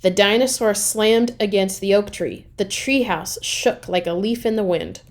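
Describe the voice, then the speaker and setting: Expressive woman reading a children's story. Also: medium pitch, measured pace. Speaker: reader of a children's chapter book. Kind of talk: expressive read-aloud storytelling.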